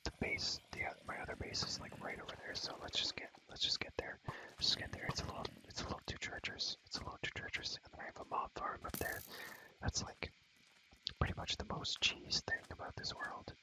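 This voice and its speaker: A man's voice: a little fast, low-quality recording. Whispering young man, ASMR game commentary. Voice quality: breathy, unvoiced whisper. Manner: repeats phrases over and over, playful slangy asides. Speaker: ASMR gaming creator.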